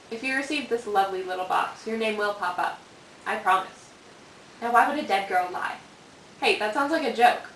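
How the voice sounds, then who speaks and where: A woman's voice: medium pitch, a bit bright, reverberant, close to an everyday voice. A teenage girl acting as a bitter, sardonic dead girl narrating confession tapes in a student film. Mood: darkly sardonic. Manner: deliberate, sardonic, dramatic delivery. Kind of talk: scripted dramatic monologue for amateur student film. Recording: hissy, distant amateur room recording.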